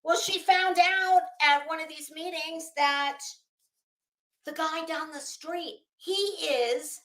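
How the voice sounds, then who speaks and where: A woman's voice: high-pitched, takes it slowly, sharp tone, echoey room, low-quality recording. A middle-aged woman hosting a casual livestream, rambling through stories in a sassy, wry, profane way. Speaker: livestream host.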